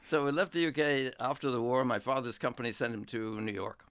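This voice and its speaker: An older man from England, a history professor, recalling his life in a relaxed interview with an unhurried, reflective manner. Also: mid-range voice, clean tone, no room sound. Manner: unhurried, reflective storytelling with many pauses.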